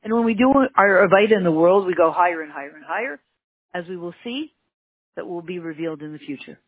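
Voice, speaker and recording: A teacher with a Canadian accent giving an emphatic religious lesson, repeating key words and jumping up in pitch for emphasis. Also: quick pace, breathy edge, dry recording. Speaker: Torah teacher. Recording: tinny phone-like line, dry.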